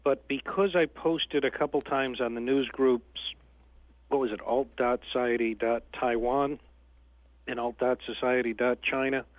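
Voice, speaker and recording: A man's voice: mid-range voice, fairly even intonation, unhurried, no room sound. A man calling in to a radio show, telling wry stories in a chatty way over a phone line. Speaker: caller. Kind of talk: phone-in storytelling. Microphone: telephone.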